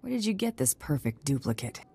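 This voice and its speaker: A woman's voice: sits low, very animated, brisk, gravelly, mellow, breathy edge, faint music behind, full character voice. A woman playing a tough, cool bounty hunter in a video game, with a dry, sardonic delivery.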